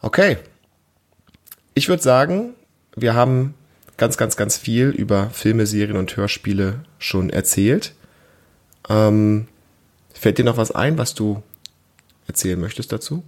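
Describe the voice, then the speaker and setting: Man in his thirties, low-pitched voice, casual family podcast chat, simple explanations, parent talking to a child. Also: expressive, a little slow, long pauses, darkish tone. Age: 30s. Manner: explains simply, asks prompting questions.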